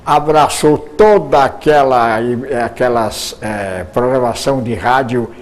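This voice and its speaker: A man's voice: medium pitch, takes it slowly. An older Brazilian man, a radio broadcaster, answering interview questions at length, often repeating words as he searches for them.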